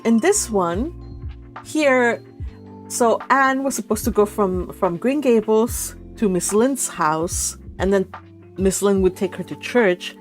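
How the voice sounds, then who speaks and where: A woman hosting a read-along, talking casually about a book in a giggly, animated, rambling way. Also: mid-range voice.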